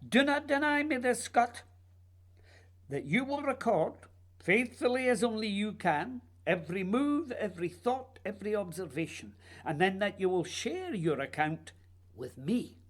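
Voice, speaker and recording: An actor plays a restless man of letters in a radio play, earnest and needy. His husky voice swings widely in pitch as he pleads in theatrical, literary phrases. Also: expressive, a little slow. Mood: insistent. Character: earnest, restless male writer, needy and intense.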